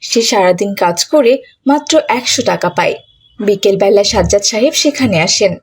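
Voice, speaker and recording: A woman with a husky voice narrating a scripted children's story. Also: medium pitch, expressive, normal speed.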